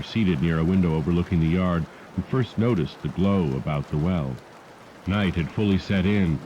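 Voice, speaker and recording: Deep, dark-voiced man narrating horror on muffled tape. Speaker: audiobook narrator. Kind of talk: scripted horror story narration. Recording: muffled degraded cassette tape with rain noise. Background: rain and storm sounds.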